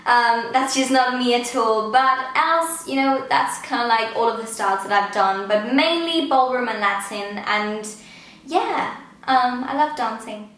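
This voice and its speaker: A young woman in her early twenties chats through a viewer Q&A, her voice sitting a little high and filling gaps with "um" and "like". The room is strongly echoey, so every word rings off the walls. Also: measured pace, fairly bright tone. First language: Danish. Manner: chatty, many "um" and "like" fillers. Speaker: vlogger. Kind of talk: casual Q&A chat.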